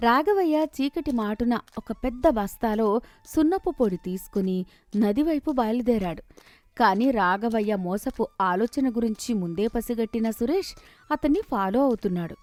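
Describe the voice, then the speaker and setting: A woman narrating a cartoon moral tale in a steady, clear storytelling manner. Her voice sits fairly high and sounds dry and close to the mic. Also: moderate pace, dry recording.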